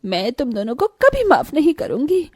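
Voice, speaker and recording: A woman's voice: high-pitched, huge pitch swings, moderate pace, no room sound, studio quality. A woman voicing a jealous, bossy young elder stepsister in a cartoon story, sneering and scolding as she speaks. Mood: angry, bitter. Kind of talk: cartoon bedtime story voice acting.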